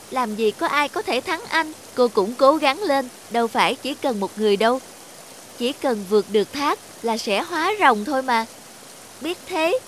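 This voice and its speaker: A woman voicing a small, young talking fish in an animated fairy tale, expressive and earnest. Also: high-pitched, a little hiss or hum.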